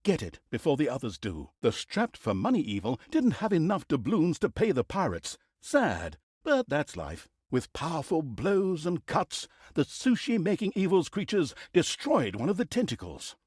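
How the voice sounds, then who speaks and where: Wry, swooping fantasy game narrator. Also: male voice, on the high side, huge pitch swings, moderate pace, hardly any pauses, darkish tone, slightly rough, dry recording, studio quality, heavily acted. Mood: sardonic, teasing. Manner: sardonic, theatrical, mock-grand, teasing asides. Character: wry, mocking male storyteller of an evil fantasy campaign. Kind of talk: scripted storybook-style comic fantasy narration.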